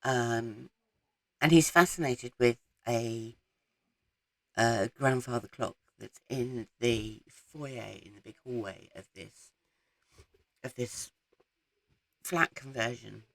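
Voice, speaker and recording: Croaky slow-talking woman with a cold. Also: rumbling low, a bit bright, no echo. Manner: chatty, rambling with frequent ums. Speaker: book vlogger. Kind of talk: casual reading wrap-up chat. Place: small garden shed.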